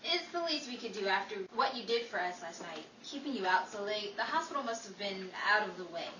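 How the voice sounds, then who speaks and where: A woman's voice: medium pitch, expressive, a little fast, lightly acted. A woman playing a hospitable 1940s housewife in an old-time radio comedy. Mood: polite, dry. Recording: echoey room, distant mic, clear noise.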